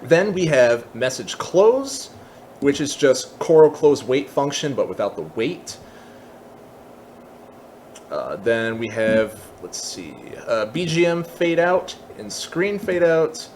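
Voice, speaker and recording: A man with a mid-pitched voice talks through a software tutorial in a casual, explanatory way. He works it out aloud as he goes, unscripted. There is faint noise behind his voice. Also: big pitch swings, slow. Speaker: tutorial presenter.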